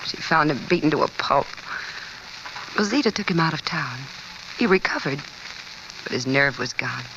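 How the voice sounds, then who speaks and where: A woman's voice: low voice, animated, moderate pace. A woman acting a world-weary, guarded confidante in an old radio crime drama. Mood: guarded, matter-of-fact. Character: world-weary woman confidante who knows the underworld crowd. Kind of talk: 1940s-50s American radio drama acting. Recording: dull, hissy old radio recording.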